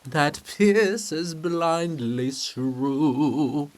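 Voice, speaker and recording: A man's voice: a little high, fairly even intonation. Man playing a brooding, anguished old poet monument. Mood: melancholic singing. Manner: mournful, slow, melancholic.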